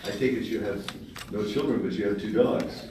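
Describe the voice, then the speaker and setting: A man with a fairly low voice reads a speaker's introduction at a club meeting and stumbles over a few words. He is heard from far off in a very echoey hall, with clear background noise and the clink of dishes and cutlery. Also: steady tone, normal speed. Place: dining hall during a meal.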